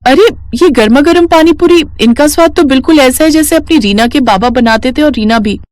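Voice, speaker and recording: An excitable, loyal young married woman from an animated story, acted by a woman. Her voice sits high and exclaims with emphasis. The sound is dry and close. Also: fairly quick, no echo, lightly acted. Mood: excited.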